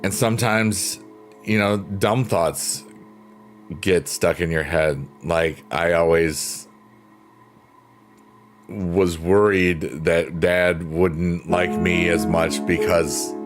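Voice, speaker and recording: A middle-aged man with a very deep bass voice chats easily with his livestream viewers, relaxed and openly reflective. Clear piano music plays underneath him throughout. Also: extremely slow, pauses often. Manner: laid-back, chatty, openly reflective. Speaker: livestream host. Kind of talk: casual chat with live chat readers.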